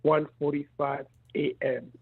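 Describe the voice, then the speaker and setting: Hesitant caller on a husky phone line. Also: male voice, a little high, little pitch movement, unhurried, dry recording. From Republic of the Congo. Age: 50s. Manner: hesitant, unsure.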